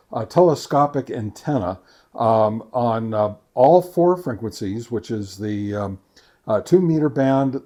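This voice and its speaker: Halting, methodical man reviewing gear. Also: mid-range voice, mellow. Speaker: host of a radio gear review channel. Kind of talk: casual product test review, talking to viewers.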